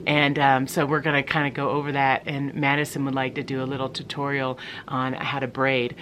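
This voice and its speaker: A woman's voice: very deep voice, little pitch movement, fairly quick, a bit bright, a little hiss or hum. A middle-aged woman from the United States chatting casually to her viewers, rambling with many ums and restarts. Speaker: vlogger. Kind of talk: casual talk to camera.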